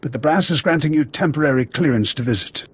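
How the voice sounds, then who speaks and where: Game army officer, husky voice, measured, principled, all business. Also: male voice, a little high. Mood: earnest, businesslike. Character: pragmatic, principled male military officer of a republic. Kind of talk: video game voice acting.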